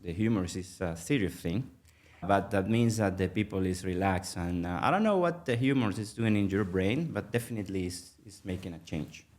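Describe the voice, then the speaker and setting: A middle-aged man from Venezuela, Spanish native, speaking English with a Venezuelan accent, halting and full of fillers in a casual interview. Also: low-pitched, a little mellow. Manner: halting, many fillers and restarts. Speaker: guest, mergers and acquisitions manager.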